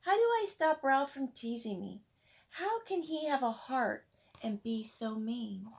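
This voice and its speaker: A sensitive little schoolgirl from a storybook, acted out by a woman reader, plaintive and earnest yet brave. Her voice sits a little high and moves up and down in a very animated way. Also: measured pace, gravelly. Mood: worried, plaintive. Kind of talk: children's storybook character voice.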